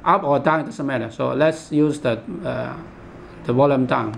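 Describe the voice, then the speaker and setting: A man giving an unscripted step-by-step product demonstration in a halting, repetitive instructional manner. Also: medium pitch, gravelly, slight background noise. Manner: halting, repetitive, instructional, with imperfect English grammar.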